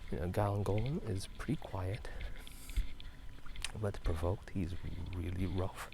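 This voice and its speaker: A man in a hushed, half-whispered ASMR chat, rambling hesitantly as he walks outside at night. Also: low-pitched, slow. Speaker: gaming and ASMR video creator. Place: outdoors at night, walking. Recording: noisy night outdoors, frogs croaking. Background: frogs croaking, night ambience.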